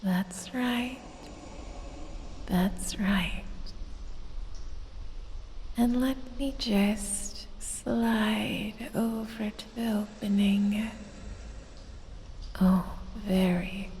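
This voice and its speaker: A woman voicing an evil, seductive lamia toying with her prey in a breathy, sultry, gloating ASMR roleplay whisper. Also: medium pitch, a little mellow. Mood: coaxing. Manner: slow, sultry, drawn-out, gloating purr. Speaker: audio roleplay performer. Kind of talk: ASMR roleplay, addressing the listener. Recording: long cave-like reverb, faint background noise.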